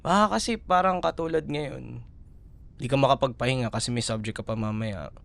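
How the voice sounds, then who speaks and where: Casting: male actor as young Filipino college boyfriend for ASMR roleplay, mid-pitched with noticeable fry, tender and teasing. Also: a little fast, fairly bright tone. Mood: gentle, wistful concern. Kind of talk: ASMR boyfriend roleplay, intimate conversational acting.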